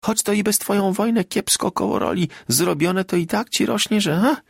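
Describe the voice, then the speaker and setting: Guy doing a chatty country wife in a storybook, high swoopy voice, bit of rasp, rustic and bossy. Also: expressive, moderate pace, no echo, studio quality, heavily acted. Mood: consoling. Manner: rustic dialect, exclamatory, garrulous. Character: Magda, kind-hearted, bossy, breathless peasant wife. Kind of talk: literary audiobook character voice.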